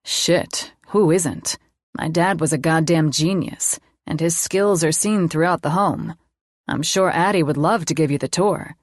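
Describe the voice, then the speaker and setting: A woman's voice: deep voice, a little slow, dry recording. Lady reading as a laid-back confident guy, proud, bit teasing, audiobook dialogue, very dry sound. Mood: boastful, easygoing. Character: easygoing, proud, teasing man chatting with friends.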